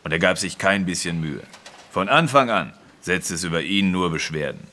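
An actor playing a gruff, hard-drinking chief steward in his fifties, grumbling and tipsy, in a German audio drama. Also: low-pitched, normal speed, sharp tone. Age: 50s. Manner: tipsy, disapproving.

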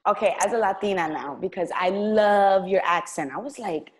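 A woman who teaches, interviewing a guest over a video call and encouraging her with quick affirmations. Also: mid-range voice. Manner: warm and encouraging, affirms often. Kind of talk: casual interview chat. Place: room at home.

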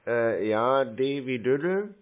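A man on a phone call, relaxed and playfully stalling, slowly spelling things out to a caller. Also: medium pitch. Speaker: scam-baiting call recipient. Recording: phone-line audio.

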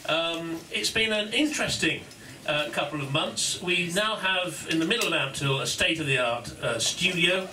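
Man, launch speech, distant mic, roomy echo, clear noise. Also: a little high, pushed and bright. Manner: self-deprecating, halting. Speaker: studio co-founder giving speech.

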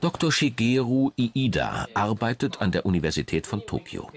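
A man reading scripted documentary narration in a measured, explanatory manner. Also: lowish voice, sing-song, creaky. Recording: music under the voice. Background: background music.

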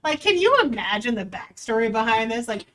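A woman's voice: fairly high pitch, big pitch swings, brisk, fairly bright tone, far from the mic. Chatty young woman reacting to news. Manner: chatty, wry, reads article aloud with asides. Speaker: dog trainer reacting to news. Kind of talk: casual reaction commentary.

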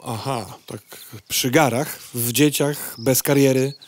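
A woman's voice: extremely low pitch, steady tone, slow, a little mellow, exaggerated voice. A woman playing a hungry female housefly from the city, politely hesitant or sardonically grumbling, in a comic audio drama. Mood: sarcastic, annoyed. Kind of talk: audio comedy drama acting.

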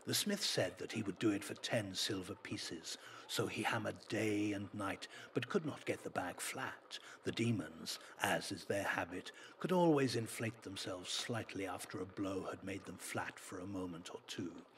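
A man reading a folk tale as a storyteller, in a dramatic, deliberate manner. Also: sits low, fairly bright tone, slight background noise.

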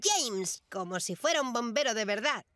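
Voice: mid-range voice, lively intonation, moderate pace, a little hiss or hum. An actor playing an eager, boastful little boy who wants to be a firefighter, in a children's cartoon dub. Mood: proud, eager. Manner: boastful, keen. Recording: loud music behind the voice.

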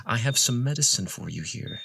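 A man playing a deranged captor in an audio horror drama, coaxing with mock gentleness and playful menace. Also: medium pitch, lively intonation, fairly quick, vocal fry, slightly rough, exaggerated voice. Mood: falsely sweet menace.

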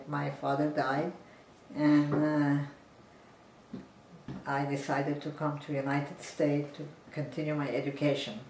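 A woman in her nineties from Iran, calmly telling her life story in a seated interview on a living room sofa. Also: deep voice, a little hiss or hum. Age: 90s. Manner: measured, plain storytelling, seated and still. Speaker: interviewee, physician. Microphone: camera at a distance. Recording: roomy, distant home recording.

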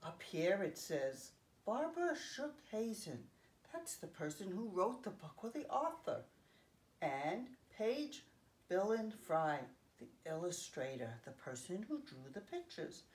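A woman in her fifties reading a picture book aloud to young children, expressively, pausing to explain things simply. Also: mid-range voice, sing-song, darkish tone. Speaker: children's story time host. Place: home living room, indoors.

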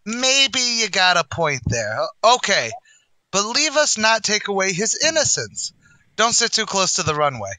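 A man's voice: high-pitched, big pitch swings, unhurried, pushed and bright, no room sound, heavily acted, rough recording. An actor playing a brash, sardonic tavern manager who mangles his grammar, in 1940s radio comedy style. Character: wisecracking, malaprop-prone male manager of a shabby tavern.

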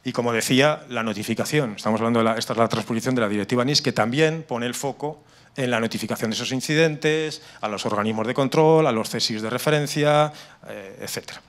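Middle aged guy from spain w/ castilian accent doing a conference talk, explains stuff haltingly, medium pitch. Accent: Spanish from Spain. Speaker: conference speaker on cybersecurity. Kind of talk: live conference presentation with slides. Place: indoor conference room with seated audience. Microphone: handheld microphone.

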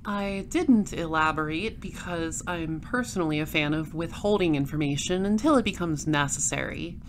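A woman playing a dry, inquisitive space detective in an audio drama, speaking formally and deliberately. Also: low voice, slight background noise, natural voice. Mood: dry, probing. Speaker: voice actor. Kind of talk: audio drama dialogue and first-person noir narration.

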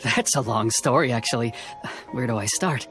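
A man's voice: medium pitch, moderate pace, a bit bright, lightly acted. A man playing a nervous, courteous middle-aged boat owner in a video game, hesitant and prone to stammering. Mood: polite, hesitant. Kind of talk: video game character acting. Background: faint game music.

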